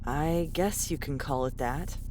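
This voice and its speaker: A woman's voice: sits low, unhurried, darkish tone, a little hiss or hum. A woman voicing a terse, knowledgeable, cautious young woman in an audiobook drama, matter-of-fact and clipped.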